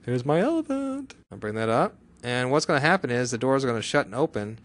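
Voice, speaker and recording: A man giving a casual software tutorial as an instructor, chatty, with exclamations and frequent rhetorical check-in questions. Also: medium pitch, very animated, fast talker.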